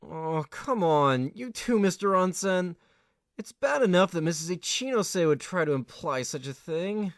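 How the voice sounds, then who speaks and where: Man acting high-pitched young male tenant, earnest, hesitant, easily flustered, audio drama, dry sound. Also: expressive, measured pace, dry recording. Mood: awkward. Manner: hesitant, stammering when flustered.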